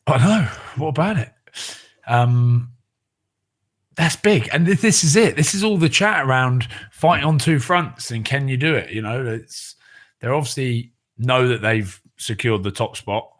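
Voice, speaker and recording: A man co-hosting a rugby podcast, talking casually in a relaxed, rambling way, with many fillers and trailing thoughts. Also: mid-range voice, unhurried. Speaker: podcast co-host.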